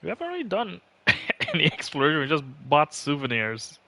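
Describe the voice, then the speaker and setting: Gravelly, bright-voiced male game streamer. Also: on the high side, wildly swooping pitch, fairly quick. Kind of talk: casual livestream commentary.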